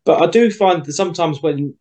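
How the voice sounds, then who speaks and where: A young man in a casual group discussion, reflecting out loud and often acting out quoted remarks. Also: a little high, fairly quick. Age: young adult. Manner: reflective, talks around his point with quoted asides. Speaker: podcast co-host.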